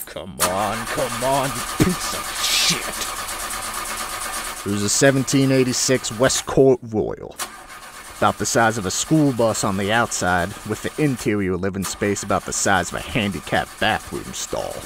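A scruffy, foul-mouthed space adventurer played by a man tells his tale for a sci-fi audio drama. His lowish voice moves around a lot in pitch, with a sardonic, rambling delivery. Effects noise sits behind him. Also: lively intonation, a little slow. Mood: desperate, frustrated, then sardonic, rueful. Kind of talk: first-person sci-fi audio drama narration.

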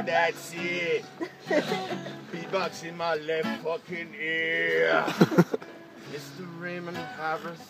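Young Australian man, amateur freestyle rapper; high, unhurried, crude and giggly, over plucked guitar. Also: low-quality recording. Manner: loose, giggly and crude, making up rhymes on the spot. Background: acoustic guitar playing.

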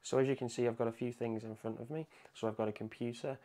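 A man's voice: mid-range voice, level tone, quick pace, warm tone. A man presenting a casual hands-on tech tutorial, explaining things in an informal, conversational way. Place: indoor room at a home desk.

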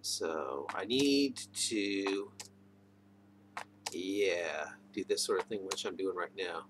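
A man's voice: low-pitched, animated, slow pace. A middle-aged man talking casually into a webcam microphone at home, hesitant and often trailing off. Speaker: video maker. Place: indoors, wood-panelled room at home. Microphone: computer or webcam microphone, near arm's length. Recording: noisy webcam microphone with room ambience.

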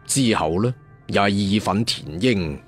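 A man's voice: lowish voice, expressive, unhurried, husky. A man narrating a fantasy tale in a colloquial, dramatic storyteller style with chatty asides. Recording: music behind the voice. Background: background music.

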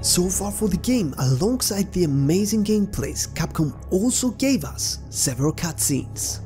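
A woman's voice: fairly low pitch, very animated, moderate pace, warm tone, soft background music. A woman hosting a gaming channel, reading a scripted introduction to a video.

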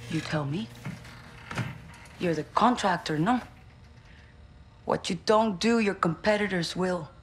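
A woman's voice: lowish voice, a little slow, music in the background, close to an everyday voice. A woman playing a cunning, proud cartel boss in a video game, with a Mexican accent and a defiant, self-assured manner. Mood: smug, cold. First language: Spanish. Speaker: actor in a video game cutscene. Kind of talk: cinematic game acting. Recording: dramatic score over the dialogue.

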